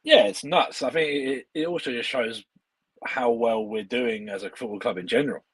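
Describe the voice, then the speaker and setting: A man's voice: medium pitch, moderate pace, slightly rough, no room sound, poor audio. A man guesting on a fan podcast, enthusiastic and repeating his points. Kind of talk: casual chat.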